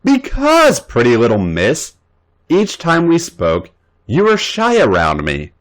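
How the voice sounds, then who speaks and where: A man voicing a cocky, teasing male wrestler in a story reading, raising his pitch a little. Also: huge pitch swings, takes it slowly, exaggerated voice. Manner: playful, teasing.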